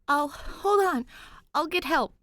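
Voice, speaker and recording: An excitable young male ghost from an audio story, played by a woman, pitched well up and full of worry. He speaks urgently and protectively, exclaiming as he goes. The sound is close and dry. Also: takes it slowly, dry recording, heavily acted. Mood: alarmed.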